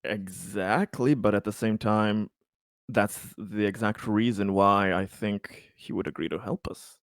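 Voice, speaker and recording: Male tabletop player, fairly low voice, dry close recording. Wordy, mock-formal, casual game chat. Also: measured pace, no room sound. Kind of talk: casual in-game chat, narrating his character.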